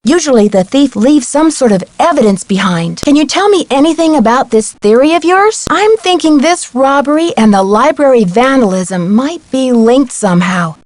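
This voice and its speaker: A woman's voice: high-pitched, lively intonation, normal speed, hardly any pauses, a little mellow, no room sound. A woman playing a polite, inquisitive young female amateur detective in scripted video game dialogue. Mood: curious, persuasive. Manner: courteous, inquisitive, clearly enunciated.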